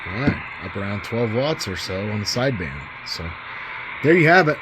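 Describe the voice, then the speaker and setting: A man's voice: fairly low pitch, very animated, moderate pace, heavy background noise. A middle-aged man casually demonstrating gear as a hobbyist video host, in a folksy, enthusiastic and informal manner.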